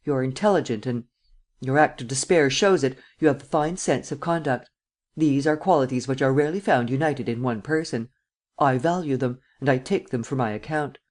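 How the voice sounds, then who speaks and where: A man voicing a coolly sardonic, persuasive prince in an audiobook, speaking with deliberate, imperious command. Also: medium pitch, darkish tone, lightly acted. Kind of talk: dramatic audiobook character acting.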